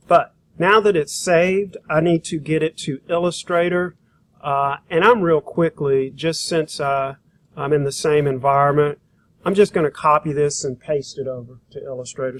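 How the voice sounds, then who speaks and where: A man giving an informal, hands-on software demonstration as an instructor, talking in a casual, rambling, folksy way. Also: a little high. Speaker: graphic design instructor. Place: school computer lab.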